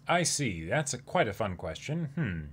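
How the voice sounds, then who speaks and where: A man's voice: medium pitch, sing-song, moderate pace, a bit bright, husky. A man in his twenties co-hosting a manga show with casual reaction banter, recorded with some noise behind him. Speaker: co-host of a manga reading show. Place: indoor room, seated before a backdrop.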